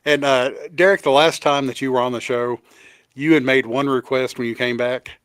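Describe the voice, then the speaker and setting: A man's voice: mid-range voice, fairly even intonation, normal speed, fairly bright tone, clear, resonant tone, dry recording. A man with a Kentucky accent co-hosting a casual chat over a video call, laughing often and restarting his sentences. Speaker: podcast co-host.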